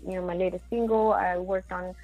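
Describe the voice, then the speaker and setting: Woman guest, Mexican-born singer-songwriter; rambling, filler-heavy talk with Spanish words; gravelly voice, dull recording. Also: on the low side, steady tone, brisk, dark tone, faint music behind. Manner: chatty, many fillers, mixes in Spanish words. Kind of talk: casual interview chat.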